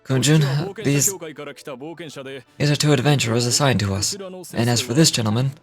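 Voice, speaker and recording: A man's voice: mid-range voice, moderate pace, close to an everyday voice. A man performs a self-assured middle-aged foreman in a fantasy game. He chats informally and likes to boast. Music plays behind his words. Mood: proud.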